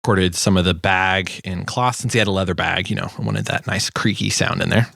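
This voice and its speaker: A man's voice: low-pitched, fairly even intonation, brisk, gravelly, fairly bright tone. A man giving an informal, self-deprecating walkthrough of his own sound design project, as in a casual tutorial.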